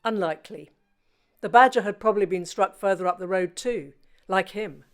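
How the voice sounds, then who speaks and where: An actress playing an unsentimental British forensic pathologist with a dry, clinical manner in a radio play. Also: female voice, mid-range voice, moderate pace, soft background music, natural voice. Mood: dismissive. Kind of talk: naturalistic radio play acting.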